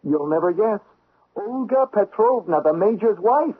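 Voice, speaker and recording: An eager young investigator's secretary presses the case with excitable, theatrical insistence. The voice is rather high and husky, leaping in pitch. It comes through a dull, lo-fi seventies radio drama recording. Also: male voice, a little slow, exaggerated voice. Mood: excited, triumphant. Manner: excitable, emphatic, theatrical delivery. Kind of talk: 1970s radio drama acting.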